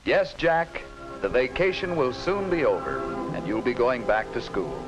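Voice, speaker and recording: A man's voice: medium pitch, animated, measured pace, close to an everyday voice. A man reading scripted narration for a 1950s educational film. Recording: muffled 1950s film soundtrack. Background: music.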